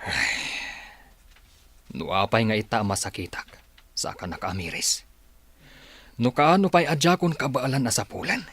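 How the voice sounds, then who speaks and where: A man's voice: mid-range voice, fairly bright tone, husky. A man with an Ilocano accent playing a remorseful, plaintive old grandfather in a radio drama. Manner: sorrowful, plaintive, drawn-out delivery. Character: remorseful old grandfather longing for his lost family. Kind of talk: Filipino radio drama acting.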